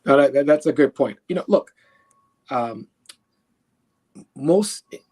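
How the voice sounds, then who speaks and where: An earnest man from Haiti answers interview questions at a mid pitch. He talks conversationally, restarts and repeats words while he thinks, and keeps checking in with the listener. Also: animated, slow pace, mellow, husky. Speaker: guest.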